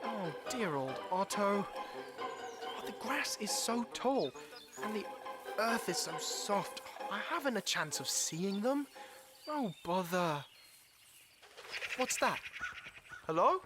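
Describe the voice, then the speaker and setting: A man's voice: sits high, sing-song, takes it slowly, pauses often, loud music behind the voice, heavily acted. A man playing a kindly, earnest, slightly flustered young marten in a children's animal audio drama, speaking politely and hesitantly with old-fashioned exclamations. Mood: flustered searching.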